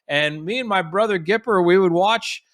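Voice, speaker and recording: A man's voice: high-pitched, moderate pace, fairly bright tone, no room sound. Chatty man in his forties, dry close sound. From United States. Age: 40s. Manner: chatty storyteller with frequent restarts and fillers. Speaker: guest, golf professional. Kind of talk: casual podcast interview.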